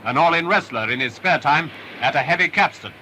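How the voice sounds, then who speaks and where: A man narrates a wartime film with an emphatic, patriotic delivery. His voice sits slightly high. The sound is an old restored film reel with steady hiss and crackle. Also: fairly quick.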